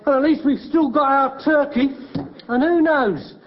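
An actor playing a blunt, simple-minded manservant. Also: male voice, extremely high pitch, measured pace, highs cut off, noisy background. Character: dim, blunt male servant.

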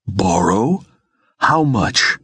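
Man putting on a scornful, irritable woman, swooping pitch. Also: big pitch swings, very slow, a bit bright, slightly rough, no room sound, exaggerated voice. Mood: outraged. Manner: grumbling, scornful, exclamatory. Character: brash, irritable woman comrade, scornful and foul-mouthed.

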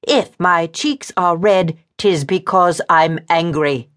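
Casting: spirited young period heroine, performed by a woman narrator, raised pitch, indignant, emphatic, defiant, audiobook romance. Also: slow pace, fairly bright tone. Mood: firm, angry. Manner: emphatic, snappy, defiant, archaic polite wording. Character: headstrong, indignant young Regency lady with a quick temper.

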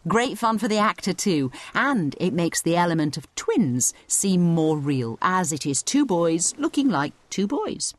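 A woman's voice: fairly low pitch, big pitch swings, a little slow, hardly any pauses, no room sound. A woman narrating a scripted educational audio guide, explaining things conversationally as she addresses the listener directly.

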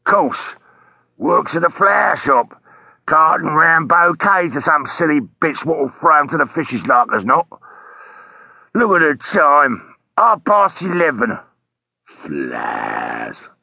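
A man performs a working-class cabbie in a story reading. He is hoarse and gravelly, with a forceful edge. He grumbles and curses in slang, thoroughly fed up. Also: on the high side, expressive, a little slow, dry recording, pitched above the performer's own voice, exaggerated voice. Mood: bitter. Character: irate, foul-mouthed working-class taxi driver, male. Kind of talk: audiobook character voice.

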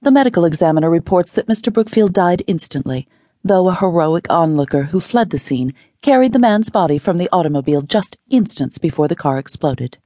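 An audiobook narrator voicing a female TV newscaster with a measured broadcast delivery. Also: medium pitch, expressive, warm tone, dry recording, studio quality, lightly acted. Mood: grave. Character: grave female TV newscaster, then cheerful. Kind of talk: audiobook character reading.

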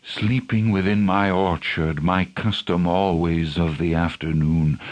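A man playing the bitter ghost of a murdered king in a solemn, declamatory Shakespearean monologue with a husky, breathy voice. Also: extremely low pitch, heavily acted. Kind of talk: Shakespearean stage tragedy monologue.

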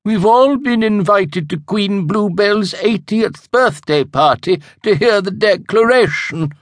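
A man's voice: high voice, lively intonation, takes it slowly, no room sound, clean studio sound, voice pushed up. A man reading aloud and voicing a smug, self-satisfied lady witch.

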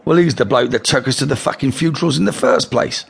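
A man's voice: medium pitch, quick pace, vocal fry, husky, soft background music, voice pushed up, full character voice. A man voicing a cold, probing male gangster with a coarse, swearing manner, in a storybook reading.

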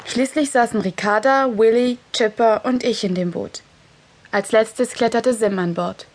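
A woman's voice: on the high side, unhurried, a little hiss or hum, lightly acted. A woman playing a cheeky child who acts in and narrates a children's sea adventure. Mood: narrating. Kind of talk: German children's audio drama acting and narration.

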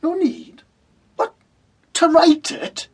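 An actress playing a dry, patient, gently sarcastic amateur drama member in a comic radio play, with a slightly husky voice. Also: female voice, extremely high pitch, very animated, slow, full character voice. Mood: doubtful. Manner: dry, understated, sardonic.

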